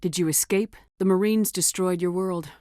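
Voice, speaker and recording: A steely female starship commander, acted by a woman for a video game, with a lowish voice. She speaks firmly and directly, with controlled authority and compassion beneath it. The sound is dry, free of room echo. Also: fairly even intonation, moderate pace, warm tone, natural voice. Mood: gentle, probing. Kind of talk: scripted video game dialogue acting.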